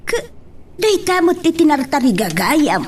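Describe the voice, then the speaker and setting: A woman's voice: high-pitched, sing-song, a little slow. A woman with an Ilocano accent playing a concerned, insistent mother in a radio drama. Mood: pleading, insistent. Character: concerned, insistent mother urging her daughter to reconcile. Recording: noisy home-studio recording.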